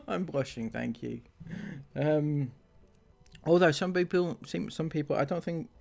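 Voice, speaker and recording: Slow-talking sarcastic man. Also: a little high, gravelly, darkish tone. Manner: sarcastic, laughs often, drawn-out delivery. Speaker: commentary channel host. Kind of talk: reading out and mocking viewer comments.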